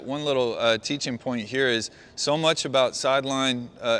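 A middle-aged man, a basketball coach, walking his players through a play on the court and calling out quick praise. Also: mid-range voice, a bit bright, smooth. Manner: talks players through a walk-through, calls out praise. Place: large indoor gym.

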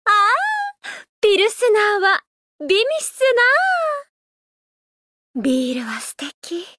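A woman voicing a gentle, playful idol who loves a drink, speaking politely and teasingly in a mobile game. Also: very high-pitched, sing-song, dry recording, clean studio sound, heavily acted. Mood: cheerful, playful. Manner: polite, unhurried, teasing, softly flirtatious. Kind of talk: Japanese mobile game character voice lines.

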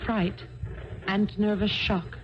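An actress playing an anxious, genteel young heiress, earnest and formal, in 1970s radio drama style. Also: female voice, medium pitch, little pitch movement, slow, lightly acted. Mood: sombre, earnest. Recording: muffled, noisy 1970s radio recording.

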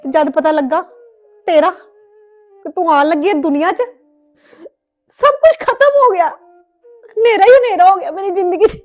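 Woman acting a very high-voiced, bitter young mother. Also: steady tone, normal speed, pauses often, darkish tone, faint music behind, natural voice. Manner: reproachful, tearful, defiant. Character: bitter, anguished young mother rejecting her crying baby daughter. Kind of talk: melodramatic domestic drama acting.

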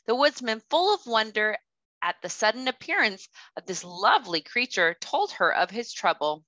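A woman in her thirties, a kindergarten teacher reading a children's storybook aloud with careful, expressive delivery. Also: a little high, normal speed, no room sound, muffled recording. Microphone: headset.

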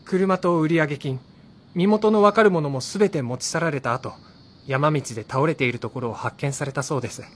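An actor playing a polite, businesslike, reassuring police officer in a Japanese radio drama. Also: male voice, on the high side, a little fast, lightly acted.

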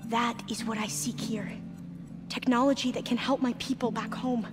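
A woman's voice: a little high, level tone, moderate pace, over music, natural voice. An actress playing an earnest, reverent young woman scholar in a video game, speaking formally and carefully. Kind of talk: video game dramatic acting.

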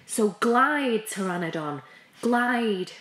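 A woman reading a children's picture book aloud in measured short phrases with pauses. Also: a little high, lively intonation, fairly bright tone. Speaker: school staff reader. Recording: roomy, echoey sound.

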